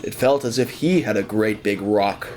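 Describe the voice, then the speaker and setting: A man's voice: lowish voice, moderate pace, darkish tone, a little hiss or hum. A man narrating an audio drama as scripted storytelling.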